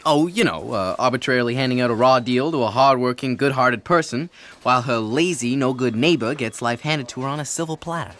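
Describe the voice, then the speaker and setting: An actor playing a smug, glib lawyer in comic audio theatre, reeling off disclaimers with a falsely casual air. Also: male voice, mid-range voice, brisk, fairly bright tone, close to an everyday voice. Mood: glib, breezy. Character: smug, glib lawyer defending a cosmic book.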